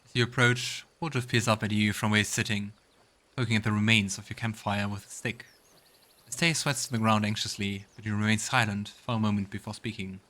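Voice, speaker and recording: A man's voice: on the low side, fairly even intonation, fairly quick, sharp tone. A man hosting a let's play, mixing casual commentary with narration of the game's text. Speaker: let's play host reading game dialogue.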